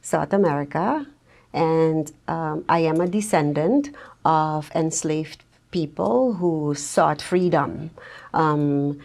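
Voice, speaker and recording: Slow, gravelly Surinamese woman guest. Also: deep voice, darkish tone. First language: Dutch. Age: middle-aged. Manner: slow, reflective, thoughtful with frequent pauses. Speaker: guest social worker. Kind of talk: podcast interview.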